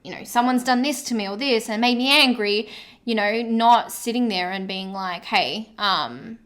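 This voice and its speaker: A woman co-hosting a podcast, a coach talking in a casual, animated way and often quoting what she or others said. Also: on the high side. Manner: animated, quick asides, quotes herself.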